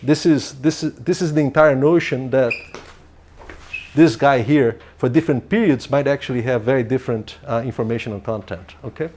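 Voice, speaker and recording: A man's voice: medium pitch, normal speed, darkish tone. A man giving an academic lecture in a lecture hall, speaking, often restarting his sentences and checking in with the audience with "okay?". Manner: thinking aloud, frequent restarts and "okay?" checks.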